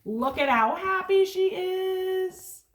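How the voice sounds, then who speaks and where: Lady teacher doing storytime for kids, high voice, really expressive, talks right to the listeners. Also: takes it slowly. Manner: expressive, dramatic emphasis, addresses children directly. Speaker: teacher reading a picture book to children. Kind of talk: animated children's read-aloud with asides and questions.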